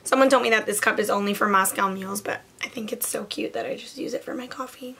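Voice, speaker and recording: A young woman with a hoarse, raspy voice, chatting casually through a makeup tutorial with many "like" fillers. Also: mid-range voice, quick pace, sharp tone. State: hoarse from lost voice. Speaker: beauty vlogger.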